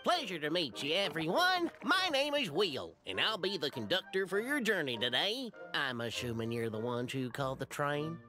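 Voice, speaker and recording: A man's voice: on the high side, sing-song, normal speed, few pauses, bright, forceful tone, faint music behind. A man voicing a fussy, deferential little toy train conductor in a video game, courteous and formal. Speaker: game character voice. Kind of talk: animated game voice acting.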